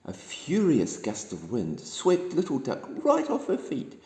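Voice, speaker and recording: A man with a New Zealand accent reads a children's bedtime story aloud in an expressive, dramatic storytelling manner. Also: medium pitch, a little slow. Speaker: storybook reader. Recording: echoey room with long reverb.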